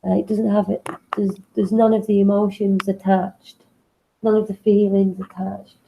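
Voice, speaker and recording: A woman co-hosting a casual talk show, sniffly and congested from a cold, speaking thoughtfully with pauses and fillers. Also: medium pitch, level tone, gravelly, dull audio, a little hiss or hum. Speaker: co-host.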